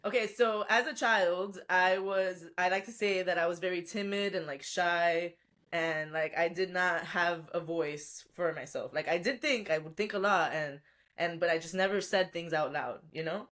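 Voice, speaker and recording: Woman guest, lowish voice, reflective and chatty, casual podcast storytelling. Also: moderate pace, fairly bright tone. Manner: reflective, chatty, laughs often. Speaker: podcast guest, life and business coach.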